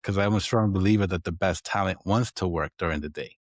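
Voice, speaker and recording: Deep-voiced man with Dominican accent. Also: fairly quick, no room sound, clean studio sound. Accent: Dominican Spanish. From Dominican Republic. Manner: explanatory, frequent "right?" tags. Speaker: guest, accounting firm owner. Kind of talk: podcast interview.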